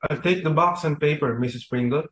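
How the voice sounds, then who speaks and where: A man's voice: on the high side, a little fast, a bit bright, lightly acted. A young man reading the part of a deferential butler, polite and formal. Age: young adult. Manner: polite, formal, addresses madam. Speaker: reader for Dunham. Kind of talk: student dramatic reading.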